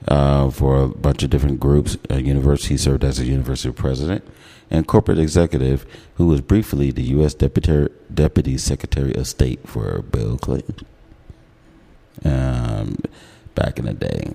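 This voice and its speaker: An American man with an extremely low, deep voice and a mellow tone. He talks casually and gives his opinions as a radio host, with care to sound out his words. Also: gravelly. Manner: enunciates words carefully. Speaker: radio talk show host.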